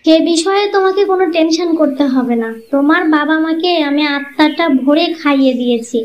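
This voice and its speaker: Woman voicing a high-pitched jealous young wife. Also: close to an everyday voice. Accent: Bangladeshi Bengali. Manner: complaining, quick retorts. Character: jealous scheming young wife, sharp-tongued. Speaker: cartoon voice actor. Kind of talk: Bangla cartoon dubbing. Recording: dull lo-fi sound.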